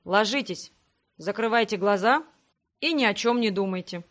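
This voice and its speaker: A woman's voice: mid-range voice, normal speed, lightly acted. A woman voicing a polite, laconic male elf healer in a fantasy audiobook, businesslike in manner. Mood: calm, businesslike.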